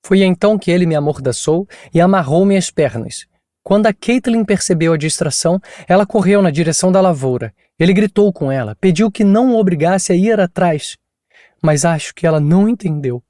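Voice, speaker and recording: A man's voice: high voice, expressive, fairly quick, dark tone, no echo, studio quality, pitched above the performer's own voice. A man doing an exhausted, frightened woman. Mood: pleading. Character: exhausted traumatized woman, witness recounting abduction.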